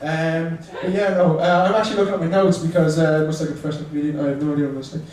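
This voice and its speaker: A man's voice: a little high, steady tone, rapid-fire, darkish tone. A young man with a Dublin accent doing a chatty, self-mocking stand-up set in a small bar, teasing the crowd. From Ireland. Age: young adult. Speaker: stand-up comedian. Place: small bar comedy room. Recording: echoey room, mic far off.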